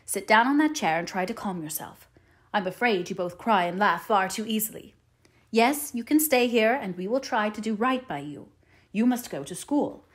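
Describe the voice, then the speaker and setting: A woman voicing a stern, practical older female guardian in an audiobook, brisk and matter-of-fact. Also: mid-range voice, animated, lightly acted. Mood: disapproving. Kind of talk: audiobook character voice.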